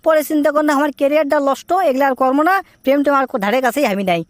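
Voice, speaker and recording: A man's voice: extremely high pitch, rapid-fire, no echo. A man voicing a cheeky, boastful young cartoon character with a Bogura Bangladeshi accent and a brash, teasing, rambling way of talking. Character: cheeky, boastful young man scolding his lovesick friend. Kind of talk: comic cartoon dialogue.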